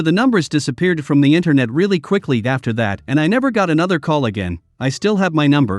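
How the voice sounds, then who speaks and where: A man narrating reddit stories in a steady, scripted storytelling read. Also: a little high, no echo. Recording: dry close sound with soft music behind.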